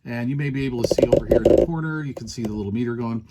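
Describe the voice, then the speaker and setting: A man's voice: medium pitch, very fast, very noisy. A man giving a casual hands-on product demonstration as a reviewer, explaining things in a conversational way.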